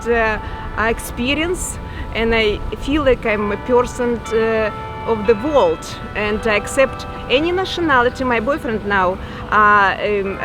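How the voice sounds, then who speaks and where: A woman's voice: fairly high pitch, poor audio. Woman with a Russian-Ukrainian accent. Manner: halting non-native English, laughs softly. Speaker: street interviewee.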